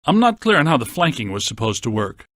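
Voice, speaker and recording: A man playing a brainy, deadpan scientist ghost hunter in a video game, with precise technical wording. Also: mid-range voice, fast talker, no echo, studio quality. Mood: deadpan, analytical. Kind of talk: scripted video game voice acting.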